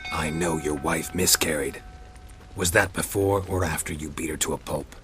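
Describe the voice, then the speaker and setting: An actor playing a stern, cynical monster hunter in a video game, with a gravelly rasp and a terse, dry, sardonic manner. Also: male voice, extremely low pitch, fairly even intonation, moderate pace, over music. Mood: accusing, cold.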